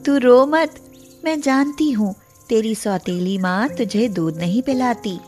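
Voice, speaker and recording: A woman voicing the gentle, consoling ghost of a mother cow in an animated moral story. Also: on the high side, measured pace, soft background music, natural voice. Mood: tender, consoling. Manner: consoling, earnest.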